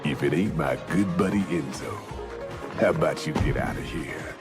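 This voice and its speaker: A man with an American accent playing a gruff, imposing, cool underworld tough guy in a video game, dry and menacing. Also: rumbling low, normal speed, loud music behind the voice. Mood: cool menace. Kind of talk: video game cutscene acting.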